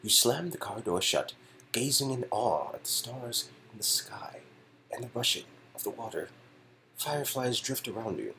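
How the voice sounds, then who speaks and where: A man's voice: mid-range voice, lively intonation, moderate pace, sharp tone, a little hiss or hum, poor audio. A man reading out an interactive story game as narrator, in a casual second-person storytelling style. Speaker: reader and narrator of game dialogue.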